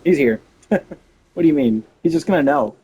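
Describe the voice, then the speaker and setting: A man's voice: on the high side, sing-song, normal speed, vocal fry, mellow. A man giving casual game playthrough commentary as a streamer, musing and thinking aloud.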